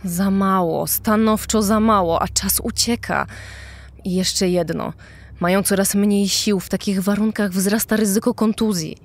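A woman's voice: mid-range voice, steady tone, a little slow. A woman playing an urgent, businesslike officer in a true-crime podcast drama, speaking matter-of-factly.

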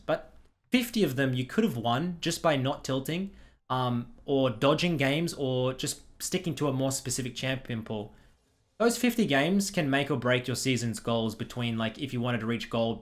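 Blunt young man commentating a game. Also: medium pitch. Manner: blunt, critical, quick asides. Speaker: streamer commentating a replay. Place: small room at home. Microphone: headset.

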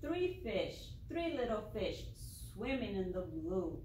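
Preschool teacher woman, very slow and drawn out, recorded from across an echoing classroom. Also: medium pitch, sing-song, a little mellow, slight background noise. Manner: slow, deliberate, drawn-out words for small children. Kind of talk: slow circle-time teaching and read-aloud. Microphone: far camera. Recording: echoey classroom from a distant camera.

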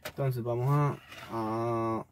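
A young Colombian man giving a casual, halting vlog review in an informal way, recorded inside a car. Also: mid-range voice, steady tone. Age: young adult. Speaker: video host reviewing a gadget. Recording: noisy car-cabin recording with clicks.

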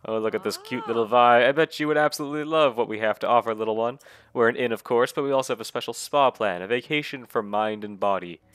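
A nasal-sounding man recovering from a cold, reading the lines of a cheerful, flirty female innkeeper in a desert town, gushing in quick sales talk. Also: medium pitch, a bit bright, smooth, no room sound, lightly acted.

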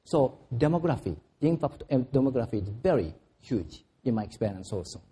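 A man on a panel discussion giving a measured explanation with some hesitations. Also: mid-range voice, big pitch swings, dark tone, husky.

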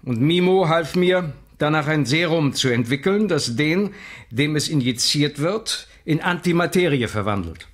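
A grave, higher-voiced professor. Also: male voice, expressive. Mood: anxious hope. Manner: grave, deliberate. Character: grave, worried male scientist professor. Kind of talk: audio drama acting.

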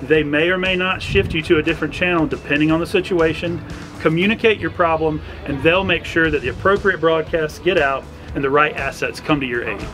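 A man's voice: on the high side, fairly quick, a bit bright. A middle-aged man presenting an instructional explainer to camera, talking through things step by step. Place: outdoors on a docked boat at a marina. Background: background music.

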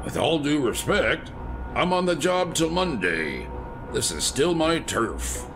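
An actor playing a weary, stubborn veteran police commissioner in an audio drama. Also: male voice, a little high, very animated, slow, music in the background, exaggerated voice. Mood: firm.

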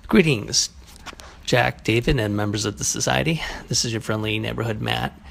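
A man speaks casually and earnestly, as if leaving a voicemail for a show. His delivery is hesitant, his voice gravelly, with faint ambient noise. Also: on the low side, moderate pace, fairly bright tone. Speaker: listener calling in with feedback.

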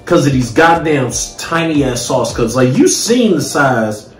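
Man in his thirties, American accent, casual food review, very lively intonation, roomy sound, faint music. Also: medium pitch, measured pace.

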